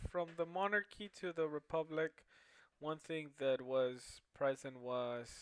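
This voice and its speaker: A young man whose first language is Spanish gives a homework presentation, stopping often to restart his sentences and filling gaps with hesitant sounds. His voice sits at an ordinary pitch and is recorded dry, with no room sound behind it. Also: clear, resonant tone, dry recording. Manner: halting, with many fillers and restarts. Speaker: student presenter. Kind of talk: class presentation.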